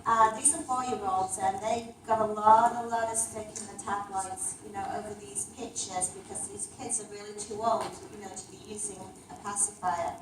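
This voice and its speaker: A woman pitches her product to an audience in a fairly high voice, moving briskly with the odd um. The sound is distant and very echoey, carrying a faint hum of the room. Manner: brisk, with fillers. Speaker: startup founder presenting. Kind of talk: business pitch. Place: community event hall.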